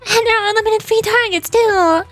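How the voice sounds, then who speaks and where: A woman voicing an excitable, mischievous young firebug girl from a video game, bubbly and eager. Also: extremely high pitch, brisk, soft background music, voice pushed up. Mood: excited, eager.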